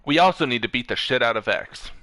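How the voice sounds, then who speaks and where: A man's voice: mid-range voice, expressive, quick pace, sharp tone, no room sound. A man streaming a video game and chatting casually with a friend in a rambling, sweary way. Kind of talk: casual gaming chat with a friend over voice chat.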